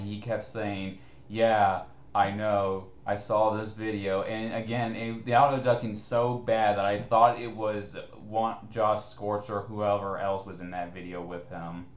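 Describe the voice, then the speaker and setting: Male co-host with a lowish voice, snarky foul-mouthed banter, recorded in an echoey room. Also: level tone, a little slow, dull audio. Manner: sarcastic, chuckling, profane. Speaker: co-host reading his written replies to comments. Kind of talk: casual mocking commentary.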